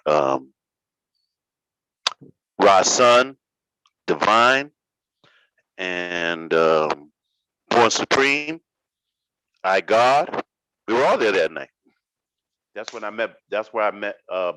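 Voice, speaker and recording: A man from New York hosting a casual livestream chat, telling stories in a relaxed, rambling way with many fillers. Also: sits low, takes it slowly, pauses often, dry recording, rough recording. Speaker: host.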